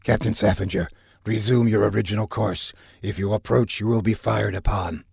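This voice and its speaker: A man voicing a gruff reptilian alien spaceship officer with a gravelly, husky voice and a slow, curt manner. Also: medium pitch, no room sound, clean studio sound, voice pushed up, heavily acted. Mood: stern warning.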